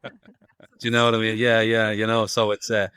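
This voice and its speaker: A man's voice: on the low side, monotone, normal speed, fairly bright tone, smooth, dry recording, studio quality. An Irish man in his thirties, a guest stone carver who talks in a rambling way, searching for words. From Ireland. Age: 30s. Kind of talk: casual interview chat. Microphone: remote call.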